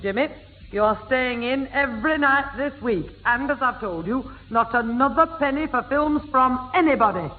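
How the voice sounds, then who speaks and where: A woman's voice: high-pitched, normal speed, natural voice. A woman playing a stern, exasperated mother of a naughty boy in a 1960s radio comedy. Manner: firm, scolding. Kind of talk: 1960s radio sitcom acting. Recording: tinny, echoing, noisy old radio broadcast.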